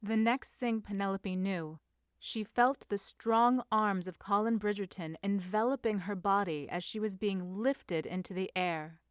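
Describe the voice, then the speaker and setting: Female audiobook-style narrator: measured, crisp, medium pitch, studio-dry. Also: dry recording. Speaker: fan fiction narrator. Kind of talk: scripted story narration.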